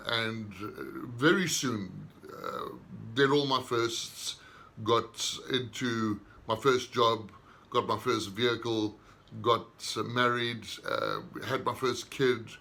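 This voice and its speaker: A man, a minister giving an unhurried personal testimony in short, reflective phrases. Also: medium pitch, fairly even intonation, creaky, bright, forceful tone. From southern Africa.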